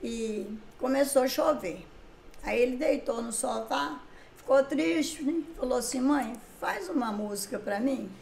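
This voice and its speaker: Older woman telling lively stories, high voice. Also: a little slow, creaky, a little hiss or hum. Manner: lively storyteller who acts out past conversations. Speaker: writer and songwriter guest. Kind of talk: casual interview chat. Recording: echoey room.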